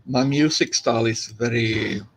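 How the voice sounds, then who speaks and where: A man with a Finnish accent talks in an easy, unhurried way, his voice sitting at an ordinary middle pitch. He wanders from thought to thought with plenty of fillers, chatting casually while he games. A faint noise sits under his voice. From Finland. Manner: relaxed, rambling, many fillers and restarts. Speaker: streamer and DJ. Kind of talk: casual live-stream chat while gaming.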